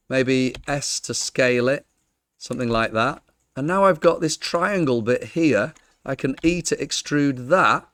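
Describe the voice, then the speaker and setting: Croaky-voiced man teaching software. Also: mid-range voice, no room sound. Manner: chatty, jokey asides, frequent ums. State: croaky, losing his voice. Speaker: Blender tutor. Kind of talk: screen-recorded software tutorial.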